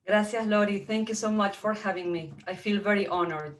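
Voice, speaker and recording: A middle-aged woman with an Argentine accent, native in Spanish, speaks as a guest. She tells a personal, motivational story in a medium-pitched voice. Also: fairly quick. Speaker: guest speaker, entrepreneur and coach.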